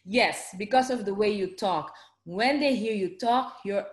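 A woman's voice: mid-range voice, a little slow, reverberant. A woman with a Dutch accent, chatting casually in an interview with lots of fillers and restarts. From Netherlands.

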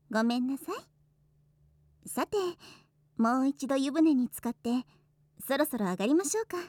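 A woman's voice: sits high, very animated, measured pace, long pauses, darkish tone, dry recording, full character voice. A woman playing a teasing, doting older-sister hostess in a Japanese voice drama, with a coy, soothing delivery. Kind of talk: Japanese situation voice drama acting.

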